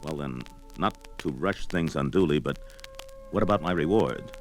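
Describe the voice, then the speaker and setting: A man's voice: extremely low pitch, expressive, moderate pace, faint music behind. An actor playing a brawny young barbarian swordsman in a fantasy audio drama, blunt, bold and declamatory. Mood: doubtful.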